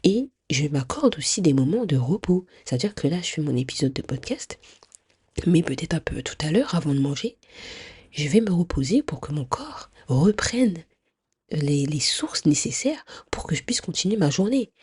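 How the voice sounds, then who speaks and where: A woman's voice: deep voice, big pitch swings, normal speed, a little mellow, slightly rough, dry recording, clean studio sound. A woman hosting a podcast, chatting directly to the listener with a stuffy nose, many fillers and restarts. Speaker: podcast host. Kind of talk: casual advice podcast monologue.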